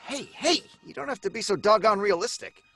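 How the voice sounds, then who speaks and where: An actor playing a flippant, excitable story writer in an old-time radio drama, breezy and bantering, mockingly unafraid. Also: male voice, sits high, brisk, creaky, soft background music, heavily acted. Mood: startled bluster.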